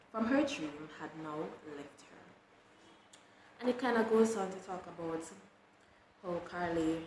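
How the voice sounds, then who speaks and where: A woman reading children's books aloud in an expressive storytelling style. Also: fairly low pitch. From Jamaica. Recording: roomy echo with clear background noise.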